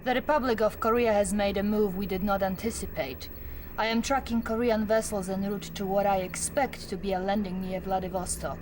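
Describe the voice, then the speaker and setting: An actress playing a female Soviet officer giving mission briefings with a crisp, slyly teasing manner. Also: medium pitch, fairly even intonation, a little fast, background noise, lightly acted. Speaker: military officer briefing the general. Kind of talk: full-motion video game cutscene.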